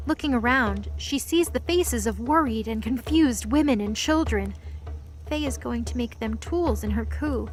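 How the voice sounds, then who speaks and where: High-voiced woman narrating an audio drama. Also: a little slow, soft background music, rough recording. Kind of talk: scripted story narration.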